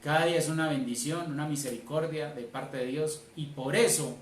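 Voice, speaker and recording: A man's voice: a little high, fairly quick. Emphatic Colombian male preacher in a roomy space. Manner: emphatic, repeats key phrases. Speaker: church elder preaching. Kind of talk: sermon. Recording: roomy, distant mic, faint noise.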